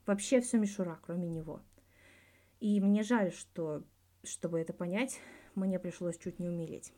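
A woman's voice: fairly low pitch, normal speed, warm tone, natural voice. A woman voicing a frank, colloquial male hockey star answering in an audiobook interview. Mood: defiant, heartfelt. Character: blunt, reflective male Russian hockey star giving an interview.

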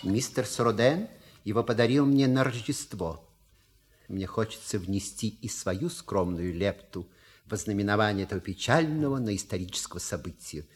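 A man's voice: fairly low pitch, lively intonation, moderate pace, slight background noise, natural voice. Ceremonious manservant with sweeping intonation, acted by a man. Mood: deferential. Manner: formal, deferential, grandiloquent. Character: dignified, unctuous manservant, loyal and ceremonious. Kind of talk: theatrical radio play acting.

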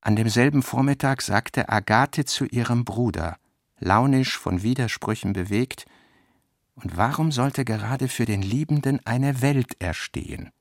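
A man narrating literary prose and its dialogue in a measured, articulate way. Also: mid-range voice, dry recording, clean studio sound.

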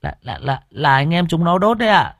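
A man's voice: huge pitch swings, normal speed, creaky, a bit bright, dry recording, studio quality, full character voice. A man voicing a nervous young village boy who stammers as he tells on other kids. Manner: stammering, high and pleading.

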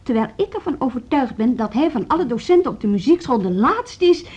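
An actress playing a stubborn, sceptical music teacher in a radio drama, with a blunt, indignant manner. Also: female voice, sits high, big pitch swings, a little fast, a little mellow, slightly rough, exaggerated voice. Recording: muffled old radio recording.

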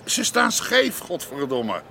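An actor playing a short-tempered, domineering underworld boss who barks and curses in a radio drama. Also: male voice, a little high, huge pitch swings, slow pace, creaky, pushed and bright, slight background noise, full character voice. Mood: irritated, commanding. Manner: barking, cursing, commanding. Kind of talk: Dutch radio drama acting.

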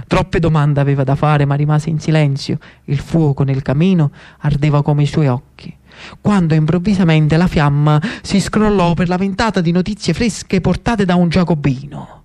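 A man with a Neapolitan accent narrating a historical monologue in a dramatic, expressive storytelling manner. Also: a little high. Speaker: actor and storyteller.

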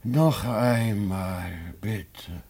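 An actor playing a cunning, lecherous old miser who feigns sickness, drawn-out and wheedling, in a stage comedy. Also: male voice, fairly low pitch, a little mellow, exaggerated voice. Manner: drawn-out, wheedling, theatrically feeble. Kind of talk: classic stage comedy radio play.

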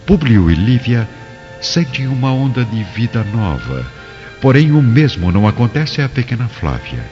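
A man's voice: sits low, a little mellow. A man narrating a scripted radio drama in a solemn, theatrical manner. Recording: dull, reverberant recording with music.

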